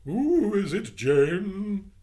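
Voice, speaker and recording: A man voicing a fussy woman who turns jolly and kind, shrill and gushing. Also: big pitch swings, extremely slow, mellow, exaggerated voice. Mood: furious. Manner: shrill when cross, gushing when sweet.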